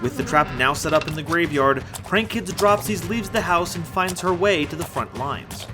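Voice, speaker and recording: A man's voice: fairly high pitch, normal speed. A man giving dramatic scripted narration for an audio drama. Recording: music behind the voice.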